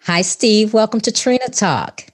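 A woman's voice: medium pitch, animated, measured pace, slightly rough, dry recording, clean studio sound. A woman from Chicago, hosting a podcast, reading an upbeat intro and then chatting casually with her guest. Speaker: podcast host.

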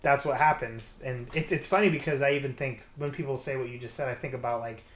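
A man's voice: mid-range voice, fast talker, slight background noise. An American man hosting a casual podcast chat, talking loosely with lots of fillers. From United States. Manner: loose, conversational, full of "like" fillers. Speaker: podcast host. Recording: echoey room.

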